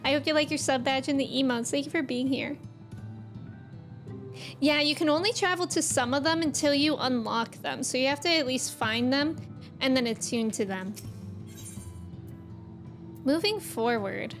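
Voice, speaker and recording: A woman with a Midwestern American accent chatting casually and excitably with viewers as she streams a game. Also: high voice, moderate pace, pauses often, faint music behind. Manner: chatty, excitable, laughs easily. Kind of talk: casual livestream chat with viewers.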